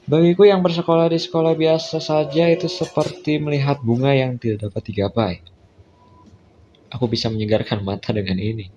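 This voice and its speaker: A man's voice: mid-range voice, fairly even intonation, fairly quick, darkish tone, slight background noise. A man with an Indonesian accent doing a casual let's play, reading visual novel text aloud and adding colloquial off-the-cuff asides. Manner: colloquial, reacts with asides to what he reads. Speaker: gaming content creator. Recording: dull, lo-fi sound with faint music behind. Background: faint game music.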